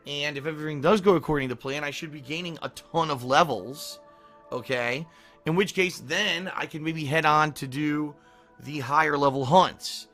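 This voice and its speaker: A male streamer with a hoarse, worn voice, casually running through his stream schedule, often clearing his throat and checking in with "okay?". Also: fairly high pitch, bright, forceful tone. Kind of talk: casual pre-stream schedule talk to chat.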